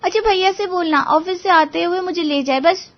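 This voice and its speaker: A woman's voice: extremely high pitch, moderate pace, highs cut off. A woman voicing a young daughter of the house in a story drama, pleading and whining. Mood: coaxing. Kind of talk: Hindi moral-story audio drama.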